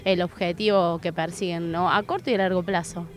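A woman's voice: on the low side, fairly even intonation, brisk. A young woman asking questions for a local TV interview, outdoors on a handheld mic. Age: young adult. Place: outdoors at a busy fairground. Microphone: handheld interview microphone.

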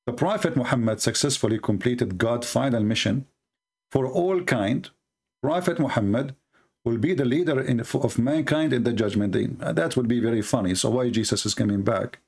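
Gravelly, mocking man with an Arabic accent. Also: medium pitch, moderate pace. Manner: mocking, combative, sarcastic. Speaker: debate host. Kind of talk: screen-share debate commentary.

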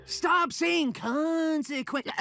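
A man's voice: extremely high pitch, very slow, creaky, loud music behind the voice, full character voice. A man voicing a bossy, short-tempered, sarcastic teenage superhero leader in a cartoon. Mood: scornful. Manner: sarcastic, dramatic. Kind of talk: animated cartoon voice acting.

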